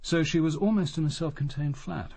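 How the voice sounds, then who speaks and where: An actor playing a composed, formal English police detective who questions methodically and probingly in a radio drama. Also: male voice, fairly high pitch, fairly quick, gravelly, a little mellow, no room sound. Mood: insistent, sceptical.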